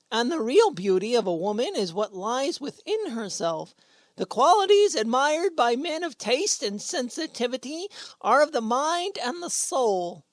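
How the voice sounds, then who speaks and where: A woman acts a gawky teenage schoolboy in a radio comedy. His voice is high and swoops about as he earnestly reaches for big words. The sound is dry and close. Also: no echo. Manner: stammering, wordy, earnest. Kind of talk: old-time radio sitcom acting.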